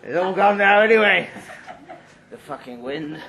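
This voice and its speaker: A man acting a high-pitched, drunken, boastful banker. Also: expressive, unhurried. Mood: crude boasting. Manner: loud, rambling, taunting. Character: drunk, boastful, crude male banker with a mean streak. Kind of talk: live dramatic story reading. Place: live reading at a London venue. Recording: live event recording, faint background noise.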